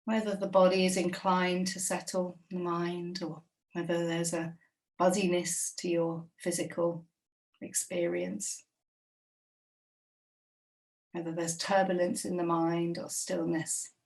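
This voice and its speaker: A woman guiding a body scan meditation, speaking slowly and gently with long, unhurried pauses. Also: lowish voice, little pitch movement, distant mic, poor audio. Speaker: meditation guide.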